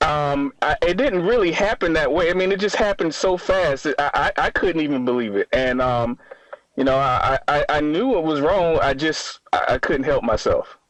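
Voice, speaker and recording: A man in his thirties calling a radio show, answering haltingly and defensively with stammered restarts. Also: a little high, expressive, fairly bright tone, rough recording. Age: 30s. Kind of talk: spontaneous phone-in conversation.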